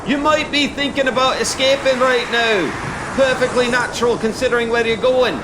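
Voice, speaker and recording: A man playing a gruff, blunt guard who gives commanding, sweary warnings in an audio drama. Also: very high-pitched, fairly even intonation, moderate pace, noisy background. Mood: loud, stern warning. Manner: commanding, colloquial, sweary, plain-spoken. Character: gruff, blunt male escort guard warning boys about prison.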